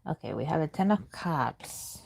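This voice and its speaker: Woman, native Spanish speaker, casual tarot reader, low voice, muses aloud while pulling cards. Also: very animated, normal speed, vocal fry, a little mellow, slightly rough. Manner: chatty, thinking aloud, murmurs while reading cards. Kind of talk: live tarot reading, answering chat questions.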